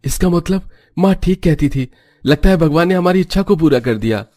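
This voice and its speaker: An innocent little boy from a cartoon tale, played by a man with his pitch pushed up. He sounds childlike and hopeful. The recording is dry and close. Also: lively intonation, normal speed, warm tone, dry recording. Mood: amazed. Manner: childlike, eager. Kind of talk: Hindi cartoon story voice acting.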